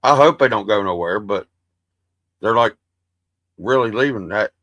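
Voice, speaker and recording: A man's voice: on the low side, expressive, normal speed, a bit bright, dry recording. Rambling, cursing man on a stream. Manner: rambling, profane, drawling; self-described soothing voice. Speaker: livestream host. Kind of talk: casual stream chat.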